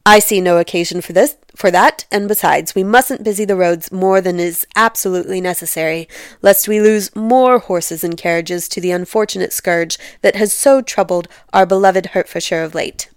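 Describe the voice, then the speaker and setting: A woman voicing a sardonic, dismissive middle-aged gentleman with a stern, pompous manner in a comic storybook reading. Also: fairly low pitch, normal speed, clean tone, no echo, clean studio sound, lightly acted. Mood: stern, scornful.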